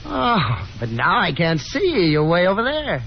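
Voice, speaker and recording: An actor playing an affable young American gentleman caller, easygoing and polite with chuckly hesitance, in a 1950s radio drama. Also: high-pitched, wildly swooping pitch, moderate pace, gravelly, exaggerated voice. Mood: friendly, coaxing. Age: young adult. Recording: muffled, noisy 1950s radio recording.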